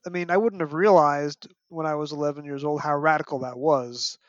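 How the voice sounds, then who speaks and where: A middle-aged man chatting about books as a podcast guest, telling things in an opinionated, animated storytelling way. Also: a little high, brisk, no room sound. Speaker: podcast guest, fan and parent. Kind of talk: casual book discussion. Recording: dull, dry lo-fi audio.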